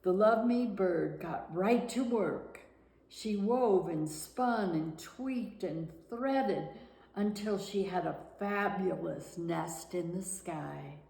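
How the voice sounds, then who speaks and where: A woman reading a children's picture book aloud with deliberate, expressive storytelling. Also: lowish voice, gravelly. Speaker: guest storyteller. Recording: roomy, echoing room sound.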